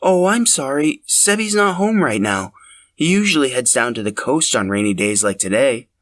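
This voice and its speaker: A man voicing a friendly, understanding woman in a story, gentle and apologetic in manner. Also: mid-range voice, very animated, moderate pace, dry recording, pitched above the performer's own voice. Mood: kindly apologetic. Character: friendly, understanding woman answering her door.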